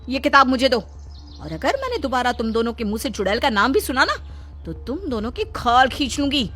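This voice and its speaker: Angry cartoon mom, female narrator putting her on: raised, forceful scolding with menace, light music underneath. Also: fairly high pitch, voice pushed up, exaggerated voice. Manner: sharp, threatening scolding. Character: angry, strict mother scolding her two children. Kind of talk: Hindi cartoon story acting.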